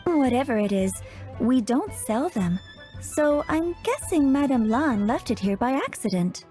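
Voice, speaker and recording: A woman's voice: high voice, sing-song, unhurried, mellow, faint music behind. An actress playing a friendly, easygoing female townsperson in a video game, conversational and obliging. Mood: curious.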